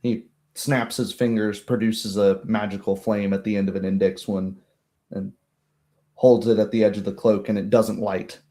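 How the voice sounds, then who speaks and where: A man's voice: on the low side, little pitch movement, normal speed, warm tone. A man narrating a tabletop roleplay game as game master, in vivid descriptive phrases.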